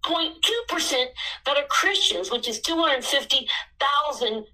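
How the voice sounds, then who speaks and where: A woman in her sixties, a missionary, telling her story in an earnest way that bursts into excitement at times. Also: high-pitched, unhurried, far from the mic, low-quality recording. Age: 60s.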